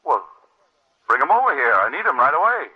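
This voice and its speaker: Commanding male boss: husky, lively, impatient 1940s radio drama delivery, muffled recording. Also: fairly high pitch, brisk.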